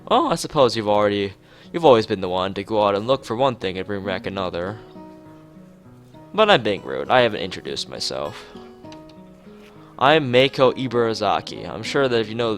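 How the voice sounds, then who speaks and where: A man's voice: lowish voice, sing-song, moderate pace. A man voicing a friendly, teasing, motherly woman in a visual novel, chatty, with music behind.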